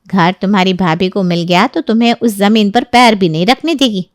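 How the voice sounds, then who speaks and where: A woman's voice: mid-range voice, normal speed, no room sound, studio quality, lightly acted. A woman playing a sly, gossipy neighbour in a Hindi audio story, with an insinuating, persuasive way of talking. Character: scheming meddling neighbour woman, sly and gossipy, stirring quarrels.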